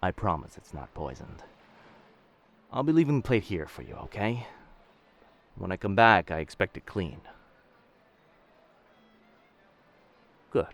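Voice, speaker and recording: A man playing a dangerous but gentle pirate captain in an intimate roleplay audio drama, protective and commanding, with old-fashioned seafaring speech. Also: sits low, sing-song, normal speed, vocal fry, long pauses, exaggerated voice. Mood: kindly, coaxing.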